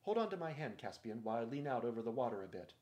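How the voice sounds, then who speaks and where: A man voicing a sharp, authoritative young king in a storybook reading, brisk and practical. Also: mid-range voice, big pitch swings, lightly acted. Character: sharp, commanding boy king.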